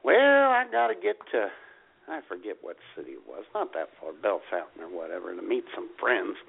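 A man co-hosting a casual comedy radio show, telling stories in an animated, exasperated way. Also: lowish voice, fairly quick, gravelly, slightly rough. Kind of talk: casual comic banter and storytelling. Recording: tinny phone-line internet radio sound.

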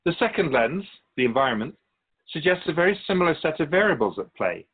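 Male actor as otherworldly male soul keeper, wise, wry, measured, musing, animated intonation, radio drama, muffled lo-fi recording. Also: on the high side, breathy edge. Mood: grave, cautioning. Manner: measured, didactic, musing pauses. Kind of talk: radio drama dialogue in interview form. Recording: dull, lo-fi sound.

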